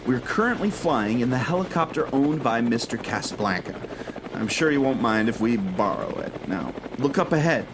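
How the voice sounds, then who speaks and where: A man's voice: mid-range voice, big pitch swings, moderate pace, noisy background. A man acting a plucky, confident and eager explorer in an audio adventure play.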